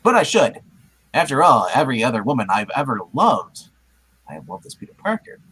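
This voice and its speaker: Man acting out an excitable, swooping young superhero. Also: medium pitch, brisk, slightly rough, full character voice, rough recording. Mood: anxious musing. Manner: exclamatory, swooping, theatrical. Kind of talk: comedic live comic book reading.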